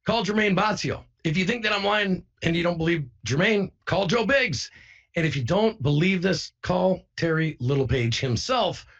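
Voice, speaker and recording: A man with a somewhat high, slightly husky voice speaks informally to his viewers. He is emphatic and insistent, circling back to repeat phrases. The audio is a little dull and lo-fi. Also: a bit bright. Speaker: online broadcaster. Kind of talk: informal first-person account to viewers.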